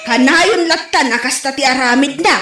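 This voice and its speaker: A woman playing a fed-up, sharp-tongued scold in an Ilocano Filipino comedy audio drama. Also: sits high, normal speed, echoey room, faint music behind. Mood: chiding.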